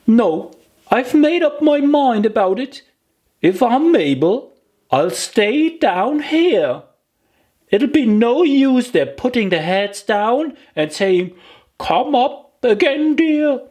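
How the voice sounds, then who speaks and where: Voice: medium pitch, sing-song, slow pace, darkish tone, voice pushed up. A storybook narrator voicing a forlorn, earnest little girl, plaintive and self-pitying in a children's tale.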